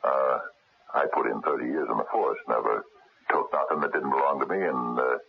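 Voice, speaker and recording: A man playing a blunt, streetwise retired policeman turned honest private detective in a 1970s radio drama, with gravelly, husky speech. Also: rumbling low, fairly quick, heavily acted. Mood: guarded, earnest. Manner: blunt, streetwise, hesitant phrasing. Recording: muffled narrow phone-like radio recording.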